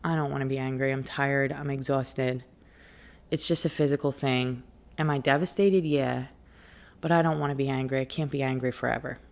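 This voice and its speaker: A woman's voice: a bass voice, steady tone, moderate pace, gravelly. A woman hosting a reflective motivational monologue, speaking candidly and conversationally, with emphasis and rhetorical questions. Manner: asks rhetorical questions and answers them. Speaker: podcast host and life coach.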